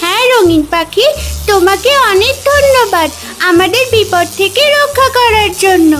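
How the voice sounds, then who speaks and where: Very high-pitched little village girl voiced by a woman. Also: lively intonation, moderate pace, music in the background. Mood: earnest. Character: polite, trusting young village girl. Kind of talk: cartoon story voice acting.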